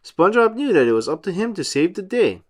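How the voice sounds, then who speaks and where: A man reading a storybook aloud for children in an animated style. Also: high voice, quick pace.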